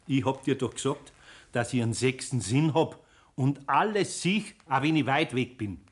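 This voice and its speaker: A man's voice: mid-range voice, lively intonation, moderate pace, breathy edge. A man playing an older, good-natured master carpenter with a Bavarian accent in a 1960s German radio play, folksy and chiding. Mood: stern, teasing.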